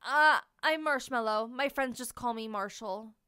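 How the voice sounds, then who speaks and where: A woman acts out a little marshmallow lad from a storybook, anxious and easily scared. The voice is high and bright, hesitant and pleading. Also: a little slow, clear, resonant tone, no echo, clean studio sound. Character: small, timid, anxious young male marshmallow.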